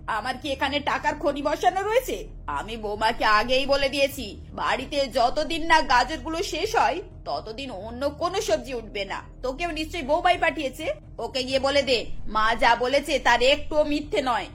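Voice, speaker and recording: A woman's voice: high voice, expressive, moderate pace, fairly bright tone, soft background music. A woman voicing a stern, stingy middle-aged mother-in-law in a cartoon, scolding hotly. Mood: angry scolding.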